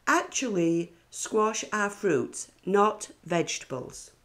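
A woman puts on a friendly little schoolboy from a picture book. He is eager and emphatic, a small know-it-all, with a raised, lively pitch. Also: slow pace. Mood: matter-of-fact.

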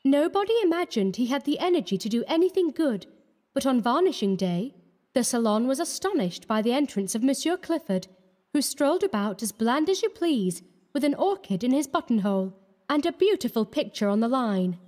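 A woman's voice: sits high, sing-song, a little fast, a little mellow. A woman voicing a coquettish, sweetly malicious young Parisian lady in a storybook reading. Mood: amused, teasing. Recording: echoey hall-like reverb.

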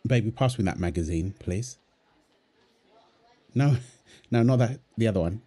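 A man playing a playful, doting young husband and father in a soft, tender ASMR roleplay, teasing as he talks. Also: lowish voice, unhurried, husky. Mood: relaxed. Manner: teasing, tender, chuckles often while talking. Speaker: audio roleplay performer. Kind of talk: ASMR boyfriend roleplay, one-sided dialogue.

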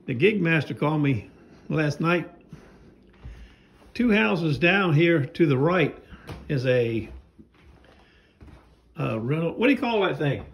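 A man's voice: on the high side, expressive, takes it slowly, vocal fry, long pauses. An older man narrating a casual home vlog, rambling and folksy, filming with a phone around his house and yard. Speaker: knife sharpener vlogger.

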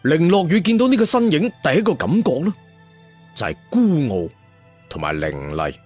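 A man's voice: a little high, very animated, moderate pace, a little mellow, husky. A man with a Cantonese accent narrating an audio story dramatically, with colloquial asides. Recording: music behind the voice.

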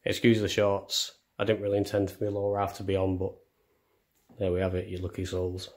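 A man's voice: deep voice, completely flat delivery, brisk, darkish tone. A young man giving a casual house tour, chatty and self-deprecating, as he films on a handheld phone. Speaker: vlogger. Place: indoors, walking through rooms of a house. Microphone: handheld phone camera.

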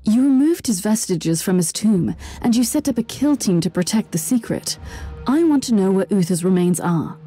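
Stern, dark-voiced female inquisitor. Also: mid-range voice, lively intonation, moderate pace, slightly rough, soft background music. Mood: demanding. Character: stern, righteous female inquisitor, unyielding and cold. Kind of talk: video game cutscene acting.

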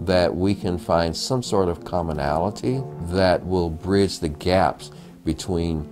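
A middle-aged man with a very low, deep voice talks slowly and thoughtfully in an interview, leaning on his words. He is recorded at home on a lapel mic, with music playing clearly beneath him. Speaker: documentary photographer. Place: indoor home room. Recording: music bed underneath.

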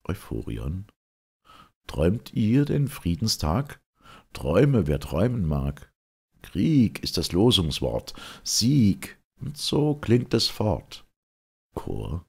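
A man's voice: low voice, wildly swooping pitch, takes it slowly, creaky, slightly rough. A man giving a theatrical, declamatory reading of dramatic verse. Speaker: reader.

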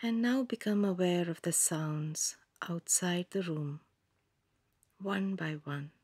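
A woman guiding a yoga nidra meditation in a calm, soothing manner, giving gentle instructions in a soft, breathy voice with long pauses. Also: lowish voice, dry recording. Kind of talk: scripted guided meditation narration.

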